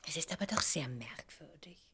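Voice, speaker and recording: An actress playing an elegant, capricious, indignant stage star in a radio comedy, with a breathy voice and dramatic, emphatic delivery. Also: female voice, deep voice, expressive, moderate pace, pushed and bright, slight background noise. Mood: hurt, miffed. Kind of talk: German radio play comedy acting.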